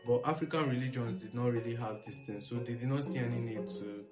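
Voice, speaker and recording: A man's voice: mid-range voice, fairly even intonation, fast. A man with a Nigerian accent speaking as a panelist in a casual, educational discussion, with a reflective, explanatory manner. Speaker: panelist on a history discussion series. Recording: music playing behind the voice.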